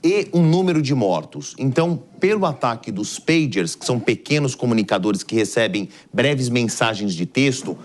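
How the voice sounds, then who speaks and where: A man with a Brazilian accent giving live news analysis in a studio, explaining things step by step. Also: medium pitch.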